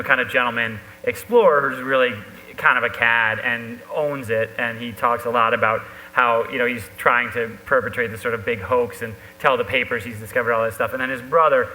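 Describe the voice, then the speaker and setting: A man speaking as an author on a live panel, rambling conversationally with loose, halting asides. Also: mid-range voice, sharp tone. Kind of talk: rambling conversational talk at a live book event. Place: stage at a live talk event. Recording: echoey hall, faint background hum.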